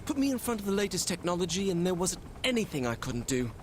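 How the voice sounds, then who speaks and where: A man's voice: high-pitched, sing-song, fast talker. An actor playing a boastful, nervy young male hacker in a video game audio log, chatty and indignant. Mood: cocky. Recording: loud game gunfire and helicopter noise.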